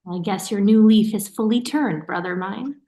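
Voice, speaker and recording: A woman's voice: mid-range voice, normal speed, warm tone, lightly acted. A woman acting in a naturalistic play reading as a caring, teasing and watchful sister. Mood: teasing, casual. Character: caring, teasing, suspicious sister of a young man. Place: remote online staged reading.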